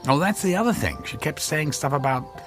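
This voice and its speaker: An actor playing a baffled, wary husband who speaks hesitantly and stammers, in a radio drama. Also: male voice, on the high side, animated, fairly quick, over music, lightly acted. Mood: uneasy, reflective. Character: baffled, wary husband confronted by a strange woman.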